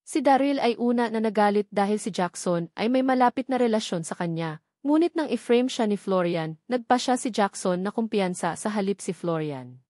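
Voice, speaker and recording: A woman's voice: mid-range voice, lively intonation, moderate pace, darkish tone, no room sound, studio quality. A woman reading a novel aloud as a scripted story narration. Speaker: audiobook narrator.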